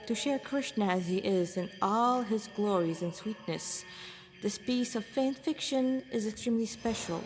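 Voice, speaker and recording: A woman reads and introduces her own fan fiction in an informal, homemade style. Her voice sits at a middle pitch. Long reverb trails every phrase, as in an echoey room. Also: a little mellow. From Montreal, Canada. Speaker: fan fiction writer and reader.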